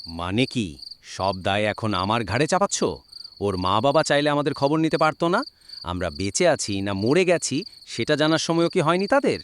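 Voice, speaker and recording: A man voicing a hot-tempered, menacing middle-aged uncle turned ghoul with a distorted voice, in an animated horror story. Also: mid-range voice, normal speed, slight background noise. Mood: angry, accusing.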